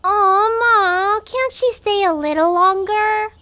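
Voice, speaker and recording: Bold young lion cub for a cartoon dub, casual, cheeky, unrushed in speech. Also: female voice, very high-pitched, slow pace, bright, forceful tone, exaggerated voice. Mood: pleading. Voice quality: childlike. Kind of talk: comic dub character acting.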